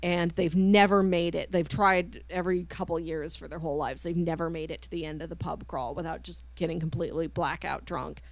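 A woman's voice: on the low side, steady tone, fairly quick, hardly any pauses, dry recording. A woman co-hosting a podcast in casual chat, with an enthusiastic, chatty manner. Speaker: podcast co-host. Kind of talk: casual chat, pitching films to co-host.

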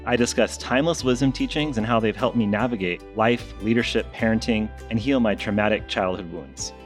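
A man hosting a podcast, reading a measured scripted introduction. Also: fairly low pitch. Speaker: podcast host. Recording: intro with soft guitar music.

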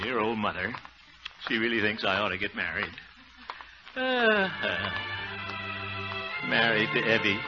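Genial, bumbling middle-aged son, mid-pitched, vintage radio sitcom, dull sound with music behind. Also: male voice. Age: 40s. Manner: bumbling, chuckling, musing to himself. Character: Harold, chuckling, good-natured middle-aged mama's boy. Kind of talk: 1940s radio comedy acting. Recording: dull old radio recording.

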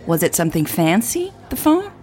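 A melodramatic best friend and tech blogger, played by a woman narrator in an audio drama. She banters theatrically in a lowish, very varied voice, with music underneath. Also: huge pitch swings, normal speed, darkish tone. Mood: amused.

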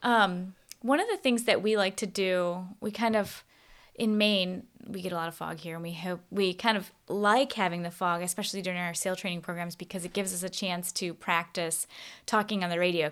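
A woman's voice: lowish voice, brisk, fairly bright tone. A woman hosting a casual interview, talking informally in meandering, wordy sentences. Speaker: podcast host.

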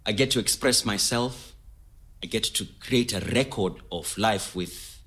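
An actor playing an earnest, philosophical man, speaking haltingly and deliberately in an interview-style audio drama. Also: fairly low pitch, a bit bright. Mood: reflective. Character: Zimbabwean man, earnest, philosophical, talkative filmmaker. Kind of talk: scripted oral-history audio drama told as interview.

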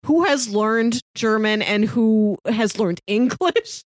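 A woman's voice: fairly high pitch, lively intonation, slow, dry recording, clean studio sound. A woman co-hosting a podcast, talking casually as she reviews a television series. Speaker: podcast host.

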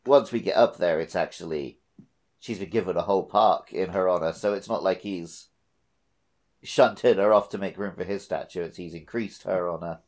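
A man's voice: low voice, moderate pace, darkish tone. A man co-hosting a podcast, talking casually and conversationally about playing through a video game. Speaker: podcast co-host.

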